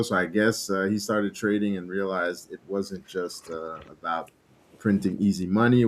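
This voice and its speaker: A man whose first language is French, hosting a casual trading livestream, relaxed and laughing often while greeting viewers. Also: lowish voice. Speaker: livestream host.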